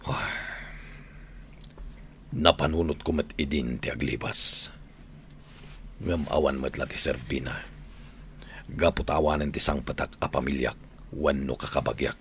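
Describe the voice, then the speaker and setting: A man acting an older prisoner in an Ilocano radio drama, calmly and measuredly counselling a younger inmate. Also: extremely low pitch, measured pace, long pauses, slight background noise. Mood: grave, calming. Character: older male prisoner, calm fatherly advisor to younger inmate.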